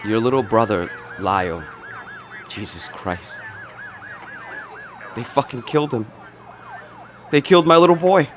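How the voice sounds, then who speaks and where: Casting: male actor as devastated middle-aged dad, mid-pitched, weak cracking voice, weeping, horror audio drama. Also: a little slow, long pauses, noisy background, close to an everyday voice. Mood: weeping, pleading. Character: grief-stricken middle-aged father, weeping and broken.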